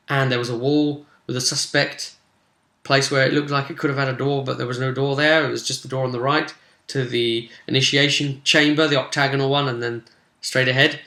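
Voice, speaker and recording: A man's voice: medium pitch, sharp tone. A man giving a casual, rambling and enthusiastic recap as a tabletop game master. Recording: roomy echo.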